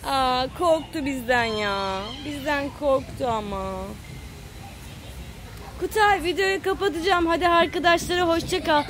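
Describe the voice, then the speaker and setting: A woman with a high voice films a casual family vlog on her phone. She speaks slowly, with a sing-song lilt when calling out to children. Clear outdoor noise sits behind her at the playground. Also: fairly bright tone, a faint crowd. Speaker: parent filming a family vlog. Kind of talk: casual chat to camera and children. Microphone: handheld phone camera. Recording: noisy outdoor phone recording.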